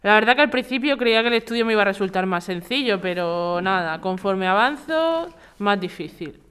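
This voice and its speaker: A woman's voice: medium pitch, lively intonation, brisk, a bit bright, smooth, natural voice. A woman playing a stressed, exasperated daughter-in-law in a radio drama. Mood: weary.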